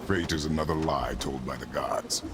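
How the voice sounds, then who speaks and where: An actor playing a stern, impatient male warrior in a video game, deep and gravelly, speaking tersely. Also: normal speed, background noise. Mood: stern scorn. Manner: terse, scornful.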